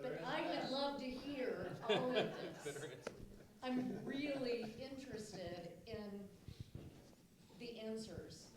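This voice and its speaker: A woman's voice: a little high, lively intonation, slow pace, long pauses, crowd noise. A woman speaking informally and candidly as an audience member, putting an unscripted question to a panel with emphasis. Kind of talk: spontaneous spoken question to a panel.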